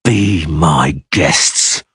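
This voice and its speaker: A man voicing a gruff, weary male monster hunter in an audiobook, speaking in terse, dry, low lines. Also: a little slow, dry recording, soft background music, full character voice. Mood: curt.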